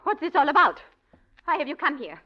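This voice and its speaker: An actress playing a bitter former dancer bent on revenge, in 1940s radio acting. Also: female voice, sits high, big pitch swings, a little fast, a little hiss or hum. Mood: guarded. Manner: intense, rising to screams. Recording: dull old radio recording.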